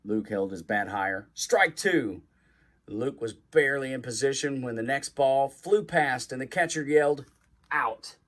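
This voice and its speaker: A man reading a children's story aloud as a guest reader, in an expressive way that acts out the dialogue. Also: mid-range voice, moderate pace, hardly any pauses.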